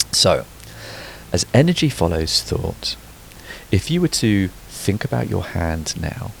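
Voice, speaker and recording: A man guides a sleep meditation in a very deep voice with a creaky, gravelly edge. He gives soothing instructions in short phrases, leaving pauses between them. Music plays behind him. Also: poor audio. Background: background music.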